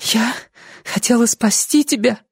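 A performer voicing a high-pitched, pleading young woman. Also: measured pace, husky, dry recording, studio quality. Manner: pleading, insistent. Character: desperate, weary young woman pleading with her beloved.

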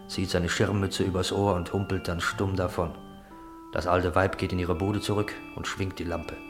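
Low-voiced male radio play narrator. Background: music.

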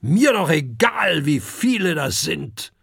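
A storybook narrator voicing a big, gluttonous, grumpy male dragon father with a hoarse, growling, blustering voice. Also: medium pitch, expressive, drawn-out words, a bit bright, no room sound, clean studio sound, heavily acted. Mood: angry, blustering.

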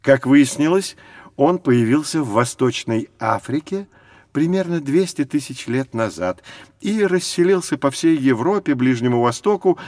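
A man playing a patient, learned guide who teaches children in scripted educational audio narration, didactic and measured. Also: medium pitch, lively intonation, a little mellow, dry recording, lightly acted. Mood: explaining, patient.

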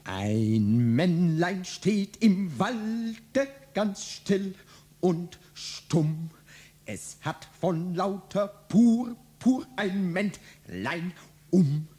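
An actor playing a sneering, provocative revolutionary in a radio play, husky and mocking. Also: male voice, high voice, animated, mellow, full character voice. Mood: mock-childlike. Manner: mocking, drawn-out. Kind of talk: theatrical radio play acting.

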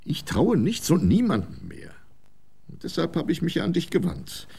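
Ein Schauspieler spielt einen älteren, wichtigtuerischen Fernsehchef, der weitschweifig redet. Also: male voice, a little high, wildly swooping pitch, moderate pace, creaky, dark tone, slightly rough, full character voice. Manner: self-important, long-winded. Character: pompous older male TV executive, old republican.

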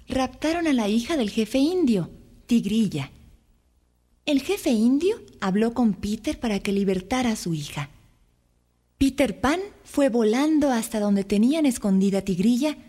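A woman storyteller reads a children's tale in a fairly high voice. Her intonation is very animated and her phrasing is careful and expressive. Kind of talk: scripted children's story narration.

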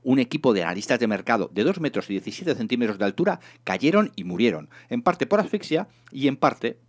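A man narrating a humorous science fiction novel in an expressive, dramatic storytelling manner. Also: medium pitch, fairly quick. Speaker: audiobook reader, narrator.

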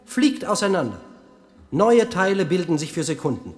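A man's voice: sits high, big pitch swings, moderate pace. An actor playing a brooding, obsessive man in a radio drama, speaking in clipped fragments between declaiming and muttering. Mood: intense, declaiming. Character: Phil, brooding man obsessed with particle physics, talking to himself.

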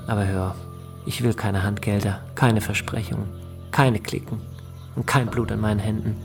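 An actor playing a cautious, principled, self-doubting politician in a radio drama, speaking in a measured, earnest way. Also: male voice, on the low side, fairly even intonation, creaky, over music. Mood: wary, earnest.

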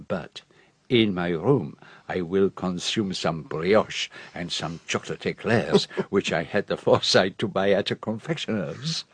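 A fussy Belgian detective in a radio play, with a French-tinged Belgian accent. His husky voice is close and dry, and he speaks precisely, weighing each phrase with theatrical, self-dramatising pauses. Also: low voice, lively intonation, no echo, exaggerated voice. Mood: mock-mournful.

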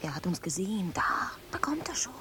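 A woman acting a passionate, sharp-tongued servant and lover on an island in a German radio drama. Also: medium pitch, very animated, fairly quick, lightly acted. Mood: hushed, anxious. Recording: noisy radio drama with sound effects.

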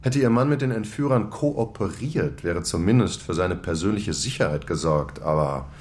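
A cool, formal security official in a radio drama. He speaks in a very deep voice and measured, lecturing phrases over a faint hiss. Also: expressive, darkish tone. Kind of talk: radio play acting.

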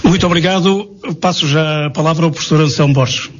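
A man moderating a public debate politely asks speakers to keep it short. His voice is high, husky and quick. The recording sounds dull and lo-fi. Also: a little hiss or hum. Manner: polite, urging brevity.

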